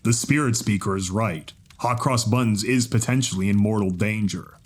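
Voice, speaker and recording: A gruff, brooding zebra stallion from a fantasy audiobook, voiced by a woman. The voice is husky and dropped low. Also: moderate pace. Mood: grave, terse. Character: gruff, brooding one-eyed male zebra.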